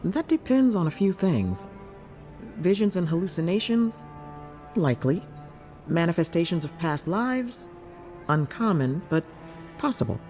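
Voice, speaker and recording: Game healer, male: caring and inquisitive, higher voice with lively swings, music underneath. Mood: puzzled, thoughtful. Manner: inquisitive, measured, advising. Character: keen, caring clinic healer, intrigued by strange souls. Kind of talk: video game character dialogue.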